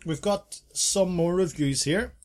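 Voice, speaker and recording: Chatty man, fairly high voice. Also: unhurried. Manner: chatty, reads reviews aloud with playful asides. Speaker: podcast co-host. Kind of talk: casual chat reviewing bars.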